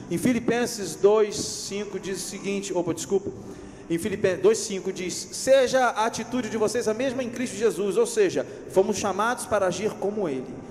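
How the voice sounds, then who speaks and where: A man from Rio de Janeiro with a Carioca accent, preaching a lively sermon to his congregation, acting out everyday scenes and asking for amens. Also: high-pitched, big-room sound, a little hiss or hum. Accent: Carioca Brazilian Portuguese. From Rio de Janeiro, Brazil. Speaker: pastor. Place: church hall.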